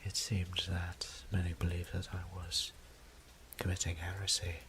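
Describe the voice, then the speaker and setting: A man playing a weary, tender vampire priest in an intimate audio roleplay, whispering breathily with halting, formal speech. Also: sits low, completely flat delivery, a little hiss or hum. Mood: solemn, troubled. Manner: hushed, halting, formal wording. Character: weary, tender vampire priest, adult male, troubled exile.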